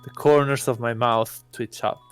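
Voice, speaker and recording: A man's voice: medium pitch, steady tone, moderate pace, smooth, faint music behind. A man reading a visual novel aloud as narrator for a let's play.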